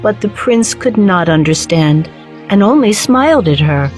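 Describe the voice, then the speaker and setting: A woman reading a children's storybook as narrator, with measured, clearly phrased delivery. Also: mid-range voice, expressive, a little mellow, soft background music.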